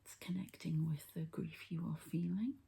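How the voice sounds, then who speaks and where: Woman, breathy ASMR whisper, gravelly creak, soft dark tone, gentle healing host. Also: low voice, fairly even intonation, normal speed. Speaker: ASMR host and energy healer.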